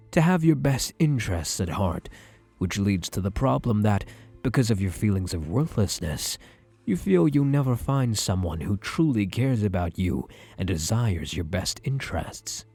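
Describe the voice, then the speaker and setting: A man acting as a possessive male psychiatrist in an ASMR roleplay, with a low, husky voice. He speaks in measured, soothing clinical phrases with a widely moving melody, sounding quietly self-assured. Faint music plays underneath. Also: sing-song, heavily acted. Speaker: roleplay voice actor. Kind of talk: ASMR roleplay, scripted monologue to the listener.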